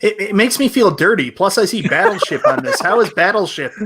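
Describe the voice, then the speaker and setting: A man's voice: high voice, big pitch swings, brisk, background noise. A man co-hosting a casual panel chat, opinionated, with a wry joking manner. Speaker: podcast co-host.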